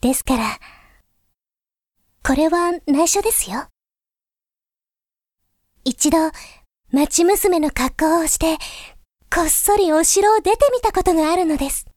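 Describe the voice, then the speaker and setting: A woman playing a gentle, thoughtful young princess, speaking formally, softly and earnestly in a Japanese voice drama monologue. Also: extremely high pitch, animated, slow pace, long pauses, no room sound, studio quality, exaggerated voice. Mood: gentle, confiding. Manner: formal, soft-spoken, earnest.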